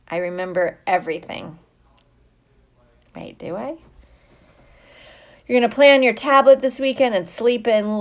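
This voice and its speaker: A middle-aged woman talks at an unhurried, slow pace in a mid-pitched voice. She chats casually like a teacher greeting students online, playful and drawing out her hellos. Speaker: teacher hosting a livestream for students. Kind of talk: casual livestream chat, greeting viewers by name.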